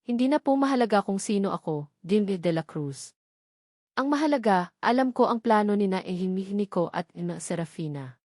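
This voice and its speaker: A woman's voice: medium pitch, animated, normal speed, a little mellow, dry recording, studio quality, lightly acted. A woman voicing a mysterious informant in a story, giving polite, grave and urgent warnings. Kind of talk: dramatic storybook dialogue.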